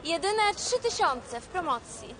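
A brassy older hustler with a high, bright voice, boastful and foul-mouthed, all swagger and extravagance. She swears freely and talks herself up in a film scene. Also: very animated, measured pace, music in the background, full character voice. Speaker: film character, a broke woman selling shoes. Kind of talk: film acting.

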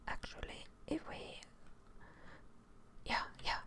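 A woman's voice: slow pace, bright, forceful tone. Whispering young woman, ASMR gamer. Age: young adult. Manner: casual, sweary. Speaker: gaming streamer. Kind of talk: whispered ASMR gameplay commentary. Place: indoors at a desk, close to the microphone. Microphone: close studio microphone on a stand, headphones on.

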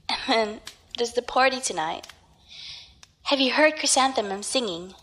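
A woman's voice: on the high side, lively intonation, a little slow, fairly bright tone. A woman acting as an earnest, worried young daughter in an audio drama. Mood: worried confiding. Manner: earnest, sighing.

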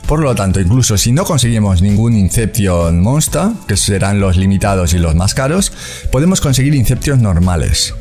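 A man's voice: on the low side, expressive, normal speed, darkish tone, soft background music. Man explaining crypto game prices. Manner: explanatory, walks through prices and calculations step by step. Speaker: crypto gaming channel host. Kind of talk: screen-recorded walkthrough commentary.

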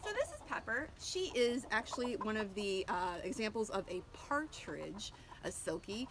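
A woman's voice: mid-range voice, very animated, normal speed, noisy background. Chatty woman filming outdoors. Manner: chatty, many ums and restarts. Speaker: homesteader. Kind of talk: casual educational vlog. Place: outdoors beside a chicken coop. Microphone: camera at close range.

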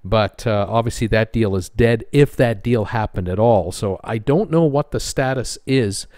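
A man with a North American accent, hosting an informal news commentary video in a halting, hesitant way with frequent pauses. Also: on the low side, darkish tone, no room sound, studio quality. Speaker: host of a cruise news channel.

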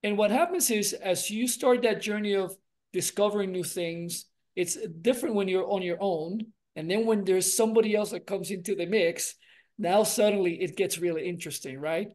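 A man's voice: sits high, moderate pace. A man in his forties from Puerto Rico, speaking earnestly on a video call as an interview guest and telling his story with many gestures and restarts. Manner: earnest, expressive, gestures a lot, restarts phrases. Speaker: guest, relationship coach and doctor. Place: home room with framed pictures, indoors.

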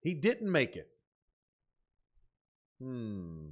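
A man in his thirties hosts a reaction video with a mid-range voice. He thinks out loud as he goes, swinging between excitable exclamations and quieter musing. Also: mid-range voice, extremely slow. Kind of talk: reaction commentary, thinking aloud. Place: small indoor room at a desk.

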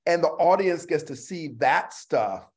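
A man's voice: on the high side, lively intonation, measured pace. A middle-aged man explaining casually on a video call, searching for words and often checking that he is followed. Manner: searching, checks in with "right?" and "you know". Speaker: actor, panel guest. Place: indoor room on a video call.

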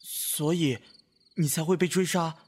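An actor playing a brash, devoted young time-traveller in a Chinese costume audio drama, boyish, expressive and easily flustered. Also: male voice, on the high side, a little slow, slight background noise, lightly acted. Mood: concerned.

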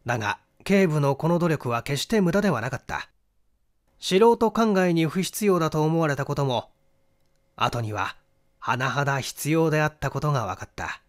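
A man narrating a mystery novel in a theatrical, deliberate storytelling manner. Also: on the high side, lively intonation. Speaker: audiobook narrator and stage actor.

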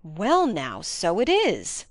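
A woman acts out a blunt, plain-spoken gentleman from a classic storybook. The delivery is emphatic and colloquial, swinging widely in pitch. The recording is close and dry. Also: wildly swooping pitch, slow pace, dry recording, heavily acted. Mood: impressed. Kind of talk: acted audiobook dialogue.

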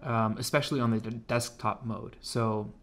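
A man's voice: lowish voice, steady tone, moderate pace, a bit bright. A man with an American accent giving a laid-back, informal tech demonstration as a hobbyist. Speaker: hobbyist presenter of an electronics project. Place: indoors at a home computer desk.

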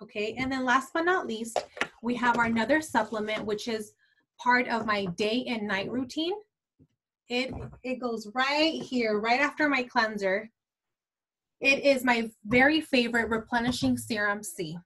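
A woman's voice: a little high, measured pace, a bit bright, slight background noise, rough recording. A woman in her twenties giving a casual product training talk to her sales team, chatty and full of fillers like "like" and "um". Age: 20s. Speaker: team leader of a beauty sales team.

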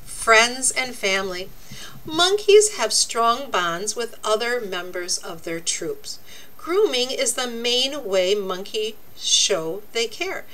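A woman reading a children's nonfiction book aloud, with deliberate, expressive, teacherly phrasing. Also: a little high, a little hiss or hum, poor audio. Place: indoors, book laid open before a camera.

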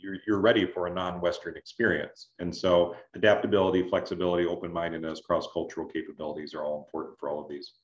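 A man explaining things as an adviser in an online information session, in a measured, conversational way with check-in questions. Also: sits low, steady tone. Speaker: scholarship adviser, presenter. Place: indoors on a video call. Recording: roomy, dull video-call audio.

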